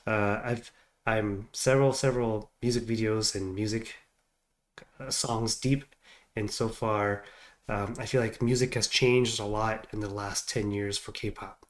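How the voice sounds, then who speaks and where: A man's voice: fairly low pitch, fairly even intonation, unhurried. Man, middle-aged, congested stuffy voice, casual rambling chat, lots of fillers, home desk mic. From United States. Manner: rambling, hesitant with many fillers. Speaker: reaction video host.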